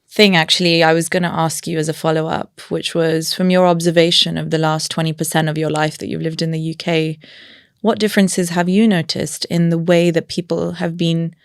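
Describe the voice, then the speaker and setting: A woman's voice: low-pitched, level tone, moderate pace, creaky, clean tone, no room sound, studio quality. A woman hosting a podcast interview, friendly and chatty, laughing easily and asking long, winding questions. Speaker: podcast host. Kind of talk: casual interview chat.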